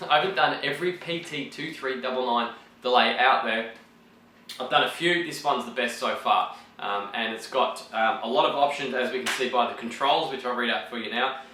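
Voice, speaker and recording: A man talking through a build report in a casual, rambling way, full of ums and restarts, his voice croaky from having partly lost it. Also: mid-range voice, moderate pace, sharp tone, roomy sound, slight background noise.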